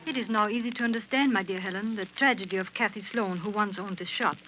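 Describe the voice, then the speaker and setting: An actress in a 1940s radio drama playing a knowing shop proprietress who tells a tragic tale in a measured, formal way. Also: female voice, mid-range voice, natural voice. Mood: grave. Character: grave, knowing dress shop proprietress telling a tragic story. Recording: dull, noisy old radio recording.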